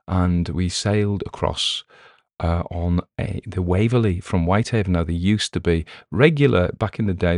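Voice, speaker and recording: A man from the Cumbrian coast talking in a rambling, halting way, full of fillers and restarts, while recovering from a cold. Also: low-pitched, a little mellow, no echo, studio quality. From Cumbrian coast, England. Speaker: story reader and podcast host. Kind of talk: casual spoken commentary between readings. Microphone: headphones on, home recording.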